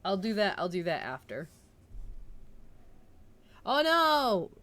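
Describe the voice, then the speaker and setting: A woman streamer chatting casually and reading a novel aloud, with an excitable, bubbly manner. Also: medium pitch, takes it slowly. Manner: excitable, bubbly, breaking into laughter. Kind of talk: casual livestream chat and book narration.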